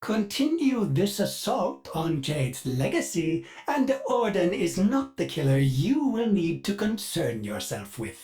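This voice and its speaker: A man's voice: high voice, sing-song, unhurried, few pauses. An actor playing a polite, fussy male ship AI in a video game who speaks of himself in the third person, heard through a glitchy robotic voice filter. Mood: disdainful. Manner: sudden dark glitched outbursts.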